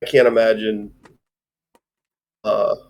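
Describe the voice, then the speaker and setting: A man talking casually as a host reviewing a film, rambling and halting, with a loose, sweary way of speaking. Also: on the low side, level tone, creaky, darkish tone. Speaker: movie review show host. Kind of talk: casual chat, film discussion.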